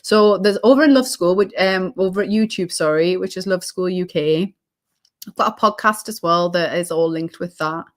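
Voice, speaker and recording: A British woman, slightly hoarse, chatting as an interview guest in a reflective, rambling way with many ums and restarts. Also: fairly low pitch, moderate pace. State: slightly hoarse, losing her voice. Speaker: guest, holistic healing coach. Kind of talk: casual interview chat.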